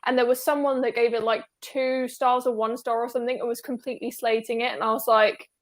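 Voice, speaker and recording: A woman's voice: on the high side, flat delivery, quick pace. A woman hosting an online book club discussion, chatting casually with lots of fillers and restarts. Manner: chatty, rambling with many fillers. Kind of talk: casual video call chat.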